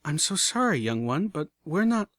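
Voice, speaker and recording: A man voicing a gentle, refined adult mare who speaks softly and politely. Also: a little high, big pitch swings, moderate pace, dry recording, studio quality, pitched above the performer's own voice. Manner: soft, polite.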